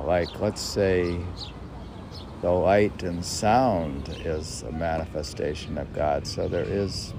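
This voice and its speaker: Low, slow, gravelly man musing aloud. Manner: musing, questions and answers himself. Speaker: poet and podcast host. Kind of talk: reading own verse with spontaneous rambling commentary. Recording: clearly noisy background.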